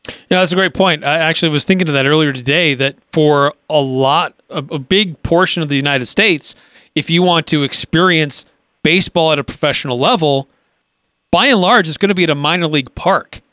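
A man's voice: a little high, a little fast, sharp tone, dry recording. A man hosting a sports radio show, asking questions in a conversational interview style.

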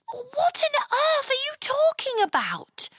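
A woman's voice: very high voice, wildly swooping pitch, slow pace, a bit bright, dry recording, clean studio sound, voice pushed up, exaggerated voice. A woman voicing a young, innocent, wide-eyed girl with a hesitant manner in a children's fairy tale. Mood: bewildered.

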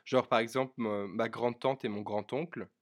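A man's voice: mid-range voice, fairly even intonation, a little fast. Young man, mid-range voice, informal chat, podcast co-host. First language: French. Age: young adult. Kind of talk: casual chat.

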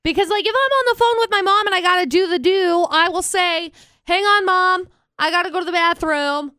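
A woman's voice: extremely high pitch, brisk, sharp tone, no echo, studio quality. A woman co-hosting a radio show in casual debate banter, emphatically repeating her points for stress.

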